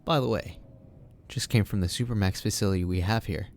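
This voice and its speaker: A measured Republic official, played by a man talks evenly, with a dry edge. His voice sits low, and faint noise is heard behind it. It comes from a homemade audio drama. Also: darkish tone, natural voice. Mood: calm. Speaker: official, son of the corporate boss. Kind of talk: fan audio drama acting.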